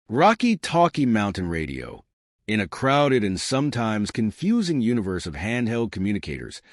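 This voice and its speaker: A man's voice: lowish voice, no echo, studio quality. A man reading scripted narration for a product review, as the narrator of a buying guide.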